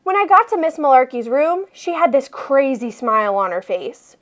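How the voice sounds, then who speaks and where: A woman reading a children's picture book aloud expressively, with deliberate phrasing for young listeners. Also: high voice, expressive. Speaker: read-aloud storyteller.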